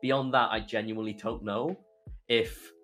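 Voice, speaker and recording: Young man, British accent, low-pitched voice, casual storytelling, chatty and rambling, podcast guest, background music. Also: fairly even intonation, normal speed, a bit bright. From United Kingdom. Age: young adult. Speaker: podcast guest, YouTuber and streamer.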